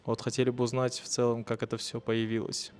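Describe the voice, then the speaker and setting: A man's voice: mid-range voice, completely flat delivery, quick pace, a little mellow. A man hosting a podcast, introducing his guest in an upbeat way with small hesitations. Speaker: podcast host. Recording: long hall reverb.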